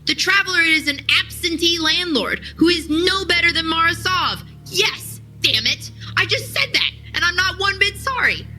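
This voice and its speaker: An actress playing a defiant, headstrong soldier in an audio drama, arguing forcefully. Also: female voice, very high voice, animated, moderate pace, hardly any pauses, soft background music, heavily acted, poor audio. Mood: impassioned. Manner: forceful, argumentative. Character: defiant, headstrong female soldier confronting her commander.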